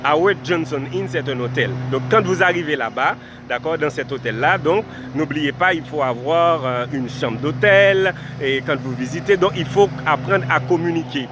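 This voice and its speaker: A man's voice: fairly high pitch, moderate pace, few pauses, noisy background. Man giving earnest advice outdoors. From Haiti. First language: Haitian Creole. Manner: addresses viewers formally, repeats points for emphasis. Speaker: vlogger giving life advice. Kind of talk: direct-to-camera advice talk.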